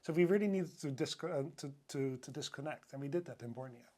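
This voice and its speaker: Man, Dutch accent, podcast guest, fairly high pitch, enthusiastic, casual chat. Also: fast, darkish tone. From Netherlands. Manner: chatty and enthusiastic, many fillers and restarts. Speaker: hotel sales director, podcast guest.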